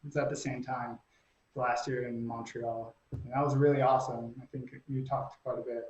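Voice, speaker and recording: A man's voice: mid-range voice, level tone, moderate pace, darkish tone. A man hosting a live stream in a casual, chatty style. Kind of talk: casual chat. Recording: roomy, dull lo-fi call audio.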